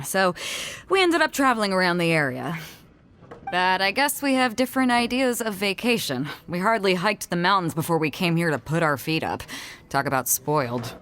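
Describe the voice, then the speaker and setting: Brash, lively woman sea captain. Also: on the low side, moderate pace. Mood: breezy, ribbing. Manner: breezy, blunt, informal. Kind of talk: video game voice acting.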